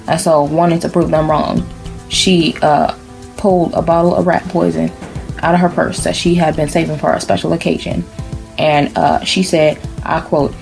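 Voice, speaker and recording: A woman improvises a comic bedtime story in a slightly low voice. She keeps it deadpan and mock-serious, tossing in sassy asides. A music bed runs clearly behind her. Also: rough recording. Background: background music.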